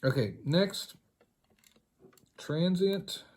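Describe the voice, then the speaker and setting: A man with a soft-edged, mellow voice talks himself through a hands-on task in a casual tutorial style. His delivery is halting and rambling, often muttered as if to himself. The recording carries faint noise with small clicks and handling sounds. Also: high-pitched, expressive. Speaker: hobbyist demonstrating tape editing.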